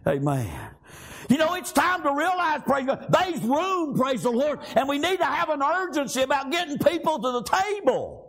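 A man preaching a fiery revival sermon in a church, shouting and dotting his lines with "praise God" and "amen.". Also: very high-pitched, very animated, normal speed.

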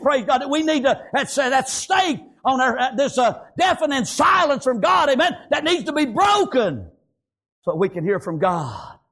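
A man's voice: very high-pitched, a bit bright. A man with a Southern American accent preaching a fervent revivalist sermon, folksy and repetitive, punctuating his phrases with exclamations of praise.

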